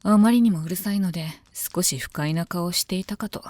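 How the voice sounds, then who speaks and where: A woman acts out a devoted female knight in an intimate audio drama. Her voice is on the low side and sits close and dry. She is composed, deferential and soft-spoken. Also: fairly quick, no echo, lightly acted. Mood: dry, matter-of-fact. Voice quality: calm, composed. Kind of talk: Japanese voice drama, intimate scripted dialogue.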